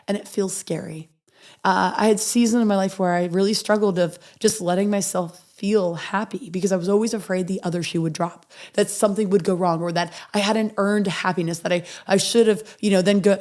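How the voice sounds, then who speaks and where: Hoarse, earnest woman podcast host. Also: medium pitch. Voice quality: raspy. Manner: earnest, reading affirmations softly and slowly. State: hoarse from recovering after illness. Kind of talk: motivational podcast monologue.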